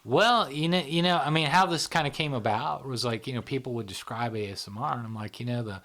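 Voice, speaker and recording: A man's voice: medium pitch, fairly quick, a bit bright. A man, the founder of a psychology channel, explaining ideas in casual conversation with many fillers and tag questions, often trailing into a near whisper.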